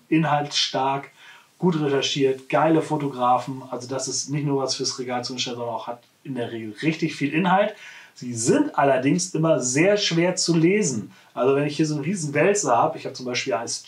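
Man presenting casually in an echoey room. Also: a little high. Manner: conversational, rambling with self-corrections. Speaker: host of a weekly shop video show. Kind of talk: casual product presentation to camera. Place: small, cluttered indoor room, seated at a desk. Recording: roomy indoor echo.

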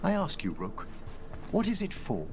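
An actor voicing a gentle, wistful older necromancer scholar in a video game, speaking formally and courteously. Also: male voice, a little high, wildly swooping pitch, moderate pace, crowd noise. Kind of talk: video game dialogue acting.

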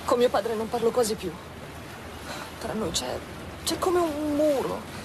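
A woman's voice: fairly high pitch, big pitch swings, a little slow. Earnest young woman, noisy ambience. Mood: troubled, resolute. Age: young adult. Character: earnest, determined young student daughter in love. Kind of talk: Italian radio drama acting. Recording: radio drama with clear ambient noise.

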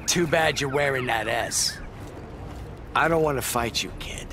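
A man's voice: medium pitch, unhurried, background noise, close to an everyday voice. A man playing a sarcastic, patronising crook in video game fight banter. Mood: sardonic. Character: sardonic, condescending crook with a freeze gun.

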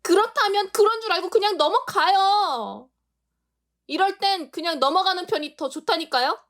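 A woman playing feisty high-voiced florist's daughter Soyoung. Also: moderate pace. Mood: indignant. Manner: sharp, scolding, flustered. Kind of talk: amateur audio drama acting.

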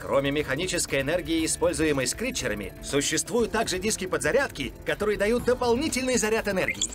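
A man playing an enthusiastic adult adventurer and mentor in a dubbed animated series, explaining things eagerly. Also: a little high, quick pace, natural voice. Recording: music playing under voice.

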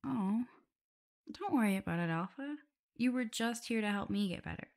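A woman playing a gentle, playful omega werewolf in an ASMR audio roleplay, talking softly, soothingly and a little hesitantly as she looks after her partner. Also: medium pitch, lively intonation, moderate pace, natural voice. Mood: fond, reassuring. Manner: soft, soothing, hesitant, giggly. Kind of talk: soft ASMR roleplay, intimate one-sided dialogue.

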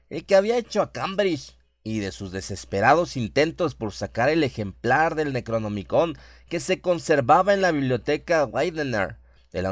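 A man narrates a horror radio play in a medium-pitched voice. The recording is dry, with very little room sound around him. His delivery is that of scripted, dramatic storytelling. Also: no room sound.

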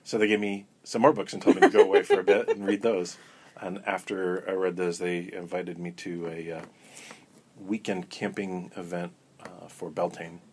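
A man guest in an interview, talking in a relaxed, rambling way with many ums and restarts. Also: deep voice, normal speed, husky. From Florida. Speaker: interview guest, coven elder. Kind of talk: casual storytelling interview.

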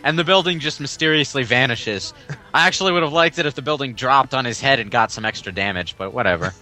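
A man's voice: mid-range voice, fast, pushed and bright, faint music behind. A man giving casual, joking commentary while playing a video game.

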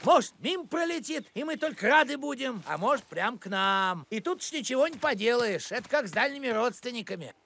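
A man's voice: very high voice, big pitch swings, fairly quick, vocal fry, hardly any pauses, sharp tone, a little hiss or hum, full character voice. An actor playing an eccentric, grumpy village postman in a cartoon, officious, boastful and theatrical. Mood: ominous gossip. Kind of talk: animated series character acting.

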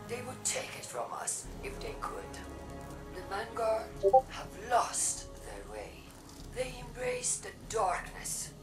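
A woman's voice: mid-range voice, vocal fry, heavily acted. A woman voicing a proud, fearmongering robot faction leader in a solemn, theatrical game speech. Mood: solemn, accusing. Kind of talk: dramatic propaganda speech, game voice acting. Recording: echoey, distant broadcast with music.

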